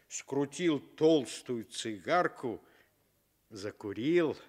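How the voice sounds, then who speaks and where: A man narrating a scripted fairy tale for Soviet radio in an expressive, deliberate manner. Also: mid-range voice. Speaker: storyteller.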